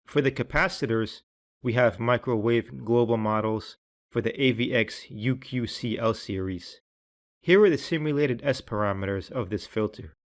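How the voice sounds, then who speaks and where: Man narrating a scripted software tutorial. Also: medium pitch, moderate pace.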